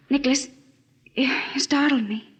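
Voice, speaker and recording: An actress playing an earnest, devoted and anxious young wife in a 1940s-style radio drama, speaking tenderly and often softly. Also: female voice, high-pitched, wildly swooping pitch, slow pace, heavily acted. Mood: frightened, subdued. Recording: dull old radio recording, music behind.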